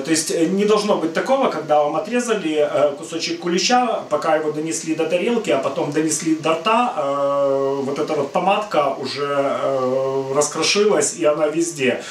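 A middle-aged man hosts a home cooking video and explains at a relaxed pace, with a fairly high voice and frequent "uh" fillers. He stands back from the camera, so his voice sounds distant and picks up echo from the kitchen. A faint hum of room noise sits underneath. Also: normal speed. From Ukraine. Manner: rambling, many "uh" fillers, friendly and explanatory. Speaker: cooking channel host. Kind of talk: casual cooking talk to camera. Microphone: far camera.

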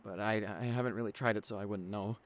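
A man playing a shy, anxious young boyfriend in an ASMR roleplay, speaking softly with hesitant stammers. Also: on the low side, steady tone, quick pace, lightly acted. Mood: shy, musing. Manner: hesitant, stammering, soft-spoken. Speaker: audio roleplay performer.

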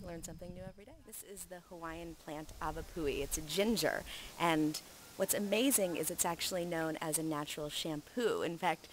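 A young woman with a somewhat low voice hosts a travel clip, talking upbeat and enthusiastic into a camera held at arm's length. She is outdoors on a forest hiking trail, with faint outdoor noise behind her. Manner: upbeat, enthusiastic, talks to a handheld camera while walking. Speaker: travel video host. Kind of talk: casual on-camera presenting. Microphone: handheld camera at arm's length.

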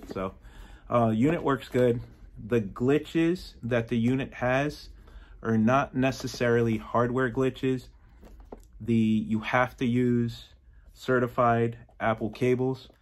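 A man in his forties, speaking casually and matter-of-factly from a garage workshop into a phone camera, with frequent ums. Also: on the low side. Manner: plain-spoken, matter-of-fact, with frequent ums and uhs. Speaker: motorcycle audio shop owner. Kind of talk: casual product demo and review. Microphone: phone camera at arm's length.

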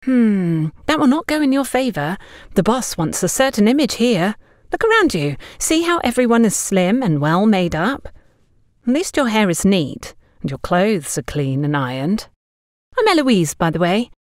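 A woman's voice: mid-range voice, very animated, moderate pace, no room sound, studio quality, voice pushed up, lightly acted. A woman voicing a chatty young shop girl in an audiobook, frank and friendly, a little gossipy and blunt.

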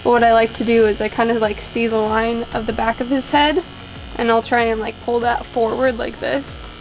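A woman's voice: a little high, level tone, moderate pace, slight background noise, low-quality recording. A young woman outdoors on a deck, chatting casually as she explains how she cuts hair at home, laughing at herself now and then. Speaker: vlogger cutting her husband's hair.

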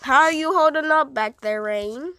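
A woman's voice: high voice, moderate pace, bright, forceful tone, no room sound. A woman reading out a male foster care worker in a story game, chatty and coaxing.

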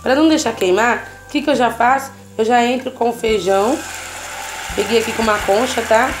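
A woman's voice: a little high, brisk, music in the background. Chatty woman talking through a home recipe. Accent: Brazilian Portuguese. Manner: chatty, constantly checking in with the viewer. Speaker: cooking channel host. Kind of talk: casual home cooking tutorial. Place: home kitchen, at the stove.

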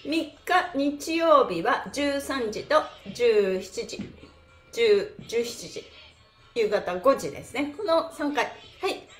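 A middle-aged woman hosting a live exercise stream in animated, cutesy and polite instructor patter. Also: fairly high pitch, slow pace, soft background music. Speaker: pantomime performer and stretch class host. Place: small indoor room with bare walls. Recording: roomy echo from camera mic.

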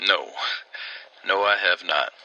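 A man's voice: deep voice, takes it slowly, sharp tone, muffled recording, full character voice. An actor playing a plain-spoken, guarded small-town railman in a radio drama, dry and matter-of-fact. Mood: defensive, firm. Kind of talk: old-time radio drama acting.

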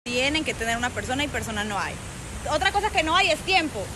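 A woman's voice: sits high, sing-song, fast talker, noisy background. A young adult woman with a Colombian paisa accent chatting playfully into her phone while vlogging outdoors. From Colombia, Antioquia. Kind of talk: casual selfie vlog chat. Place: outdoors at a beach, later a club. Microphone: phone at arm's length.

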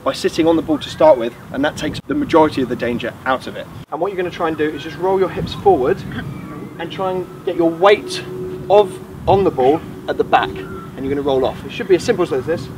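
Higher-voiced man coaching outdoors, noisy background. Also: fast talker. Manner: encouraging, emphatic with repetition. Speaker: host and acrobatics coach. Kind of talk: instructional how-to tutorial. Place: grassy field outdoors. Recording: outdoor noise, traffic ambience.

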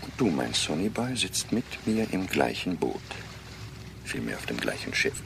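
An actor playing an irritable, sarcastic fugitive doctor who blusters, in a German radio drama. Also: male voice, rumbling low, big pitch swings, normal speed, creaky, background noise, heavily acted. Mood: irritated. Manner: sarcastic, dismissive.

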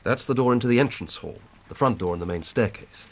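An actor playing an earnest, methodical English police inspector in a radio drama, husky and deferential as he reports. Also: mid-range voice, lightly acted. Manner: measured, deferential reporting of evidence. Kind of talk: BBC radio drama acting.

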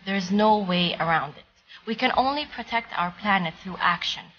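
A woman's voice: medium pitch, normal speed, muffled recording, slight background noise. A woman from Egypt reading a formal, earnest pageant speech. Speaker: pageant contestant. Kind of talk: scripted narration.

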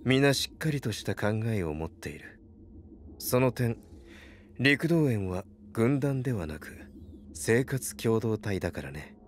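An actor playing a calm, smug, fatherly leader in a voice drama, speaking in a measured and detached way. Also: medium pitch, slight background noise. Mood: amused, detached. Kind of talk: Japanese voice drama acting.

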